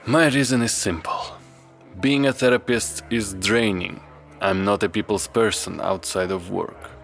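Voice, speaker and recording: A man playing a reserved, solitary young therapist in an audio drama, speaking in a measured, formal, understated way. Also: lowish voice, creaky, fairly bright tone. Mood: guarded, weary. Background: music.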